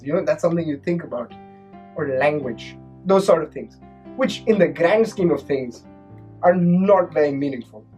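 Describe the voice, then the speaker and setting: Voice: mid-range voice, a little mellow. A young international student from India, reflecting thoughtfully and laughing lightly. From Pune, India. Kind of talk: documentary interview.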